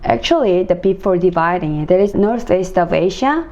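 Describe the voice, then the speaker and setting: A young woman from South Korea with a Korean accent, answering interview questions in English as her second language, pausing and restarting as she searches for words. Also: lowish voice, lively intonation, a little mellow, lo-fi sound. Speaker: interview guest, teacher.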